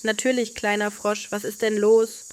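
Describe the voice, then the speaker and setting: A woman voicing a curious, gentle young girl full of wonder in a children's bedtime story. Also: medium pitch, level tone, moderate pace, clear, resonant tone, lightly acted.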